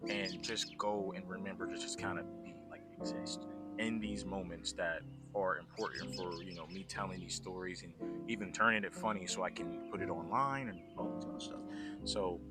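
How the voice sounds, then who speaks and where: A man's voice: low voice, fairly even intonation, moderate pace. A man talking casually and earnestly about trying new things, reflective in tone. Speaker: vlog host. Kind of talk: casual chat. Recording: very loud guitar music over the voice.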